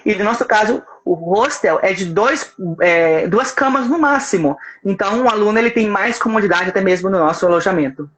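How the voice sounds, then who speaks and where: A man's voice: sits high, lively intonation, brisk, few pauses, fairly bright tone. Brazilian man with a faint Spanish tinge. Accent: Brazilian Portuguese with a slight Spanish tinge. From Brazil, Espírito Santo. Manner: agreeable, with many hesitant restarts. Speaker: language school representative. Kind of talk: casual live-stream interview. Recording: faint background noise on a video call.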